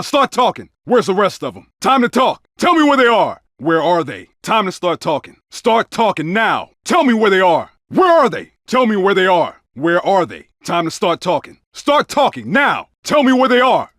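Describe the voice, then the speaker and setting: Tough male undercover cop for a shooter game: high voice, very animated pitch, clipped commanding barks. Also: no echo, clean studio sound. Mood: demanding. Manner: terse, urgent, commanding callouts. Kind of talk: video game combat barks.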